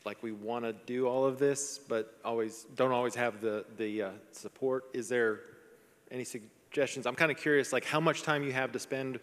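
Guy at a live session asking a question, casual and a bit halting, echoey room. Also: medium pitch, fairly even intonation, fairly bright tone. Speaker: audience member asking a question. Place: large indoor session room.

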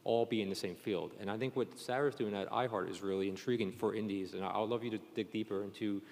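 A man's voice: fairly low pitch, steady tone, fast, gravelly, darkish tone. A man moderating a panel discussion in a chatty, conversational way. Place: conference session room. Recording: echoey hall, long reverb.

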